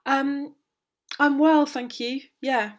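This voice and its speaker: Woman a bit hoarse, fired up and indignant, talking over a video call. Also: sits high, steady tone, slow pace. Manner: impassioned, indignant, with many restarts. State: slightly hoarse. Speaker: parenting guest speaker. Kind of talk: conversational interview, passionate advocacy.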